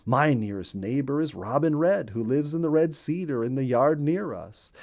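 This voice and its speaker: A man's voice: mid-range voice, expressive, normal speed, a little mellow, pitched above the performer's own voice, lightly acted. A man voicing a friendly, cheerful male bluebird in a children's storybook, chatty and singsong, repeating words for emphasis.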